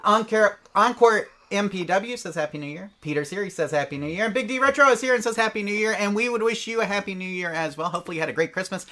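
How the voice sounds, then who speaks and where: This is a man hosting a live show with an upbeat, chatty manner. His voice is bright and forceful and sits a little high for a man. He reads viewer messages in a friendly, casual tone. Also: lively intonation, quick pace, hardly any pauses. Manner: upbeat, chatty, announcer-like opening. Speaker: podcast co-host and producer.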